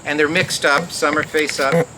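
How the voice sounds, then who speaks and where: A man hosting a live outdoor garden show, welcoming people and doing magician's patter in an informal, halting, rambling way. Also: a little high, little pitch movement, gravelly. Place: outdoor community garden event. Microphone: live mic through a speaker. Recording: very noisy outdoor live recording.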